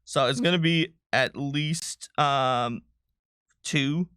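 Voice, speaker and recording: A man's voice: a little high, slow, bright, forceful tone, clean tone, no echo, studio quality. A man chatting casually as a livestream co-host, thinking aloud as he explains tabletop game rules and works through numbers. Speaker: game designer co-hosting a livestream.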